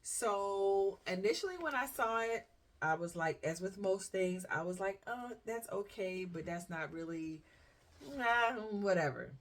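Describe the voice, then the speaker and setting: A woman's voice: mid-range voice, animated, a little slow. A middle-aged woman with a Southern US accent, chatting casually as a fashion vlogger and acting out her thoughts as she tells stories. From South Carolina, United States.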